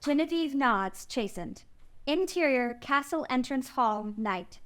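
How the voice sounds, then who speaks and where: A woman's voice: high-pitched, expressive, a little slow. A woman narrating the stage directions of a screenplay at a table read.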